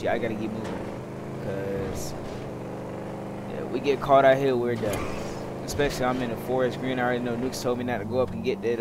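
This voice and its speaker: Slangy American man over loud game noise. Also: mid-range voice. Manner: slangy, rambling, repeats himself. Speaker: gaming video creator. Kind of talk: casual in-character commentary over roleplay gameplay. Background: video game vehicle and city ambience.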